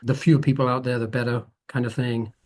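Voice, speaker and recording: A man's voice: mid-range voice, little pitch movement, fast, a little mellow. Thoughtful middle-aged Dutch-born man. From Netherlands. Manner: rambling, thoughtful, with frequent restarts. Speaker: guest photographer. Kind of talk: conversational interview.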